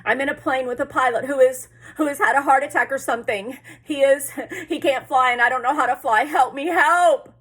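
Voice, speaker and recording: A woman's voice: sits high, flat delivery, normal speed, voice pushed up. A woman voicing a frightened boy alone in a plane, pleading urgently and repeating himself in an audiobook. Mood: frantic pleading. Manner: pleading, urgent, breathless repetition. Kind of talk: audiobook character voice.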